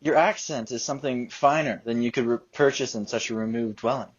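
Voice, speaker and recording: A man acting an earnest, wry, lovesick young gentleman in a Shakespearean radio play, husky, on a lo-fi recording. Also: mid-range voice, fairly quick, natural voice.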